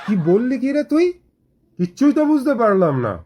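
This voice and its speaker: Guy doing a strict cartoon teacher, pretty high voice for a man, sarcastic n bossy, always fed up. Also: expressive, a little fast, darkish tone. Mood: exasperated. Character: stern, exasperated male schoolteacher in a comedy cartoon. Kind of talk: exaggerated cartoon comedy dialogue.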